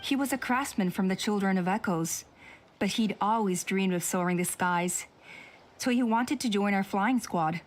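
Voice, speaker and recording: A woman voicing a calm, cautious woman elder of a tribe in a video game, speaking in a measured, cautioning way. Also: medium pitch, slight background noise, natural voice. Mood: recounting.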